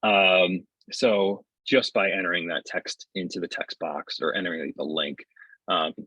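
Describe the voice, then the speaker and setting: A middle-aged man giving a casual webinar demo in a halting, conversational way, on dull video-call audio. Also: deep voice, steady tone, sharp tone, husky, no room sound. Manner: halting, conversational, often checks with "right?". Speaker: software developer presenting his tool. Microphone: earbuds on a video call.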